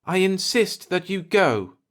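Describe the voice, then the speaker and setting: A man's voice: high-pitched, big pitch swings, slow pace, fairly bright tone, voice pushed up, exaggerated voice. A man voicing a stern, superstitious old alien native who speaks formally and insistently in a story. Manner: formal, insistent, archaic speech.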